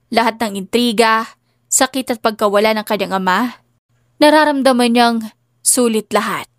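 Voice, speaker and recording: A woman's voice: a little high, dry recording, clean studio sound. A woman narrating a scripted dramatic story as an audiobook reader.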